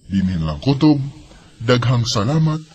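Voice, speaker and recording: A young man voicing a grateful new graduate in a radio drama, earnest and thankful, with a husky voice. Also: medium pitch, big pitch swings, unhurried, highs cut off, very noisy. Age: young adult.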